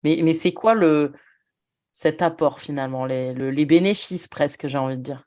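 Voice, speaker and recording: A woman's voice: low-pitched, moderate pace, a little mellow. A woman who was once a nurse, hosting a podcast conversation and talking things through in a reflective way with frequent restarts. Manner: reflective, thinks aloud with many restarts. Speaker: podcast host, former nurse.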